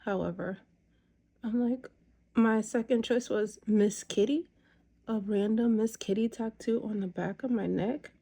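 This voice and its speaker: Woman, 30s, mid pitch, gravelly, casual rambling chat, many ums. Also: measured pace, warm tone. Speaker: makeup vlogger. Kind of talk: casual chat while doing makeup.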